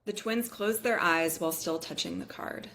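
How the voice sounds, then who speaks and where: Lower-voiced woman narrating a script. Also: normal speed, creaky, fairly bright tone. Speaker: narrator reading stage directions.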